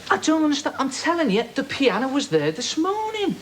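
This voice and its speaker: A matey presenter who talks quickly and chats casually with children on 1980s TV. His voice comes through noisy old broadcast audio. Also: extremely high pitch, huge pitch swings. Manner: chatty, matey, quick questions.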